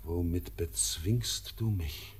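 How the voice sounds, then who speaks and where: A young actor playing an orphaned sailor, torn between pleading and dread, in a classic radio play. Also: male voice, sits low, takes it slowly, slightly rough, heavily acted. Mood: anxious.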